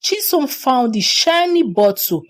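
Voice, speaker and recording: A woman tells a folktale in an expressive storytelling style. Her voice sits fairly high and moves widely in pitch, and she takes her time over each phrase. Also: a little slow.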